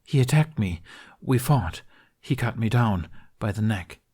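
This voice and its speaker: A man's voice: lowish voice, expressive, measured pace, darkish tone, breathy edge. A man voicing an earnest, nervous young male apprentice recounting a vision.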